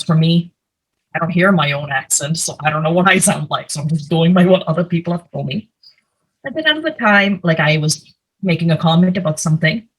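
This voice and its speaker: Young woman from india, slightly breathy, talks through slides on a video call, lots of ums and likes. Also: deep voice, rough recording. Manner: conversational, many fillers. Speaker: presenter. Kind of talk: slide presentation talk. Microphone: computer mic, video call.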